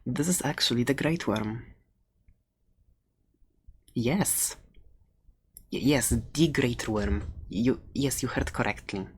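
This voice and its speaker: A woman playing a friendly, chatty fantasy shopkeeper in a soft ASMR roleplay, playful and hesitant as she rambles through her sales pitch. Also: slow pace, pauses often, husky, exaggerated voice. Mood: playful, enthusiastic.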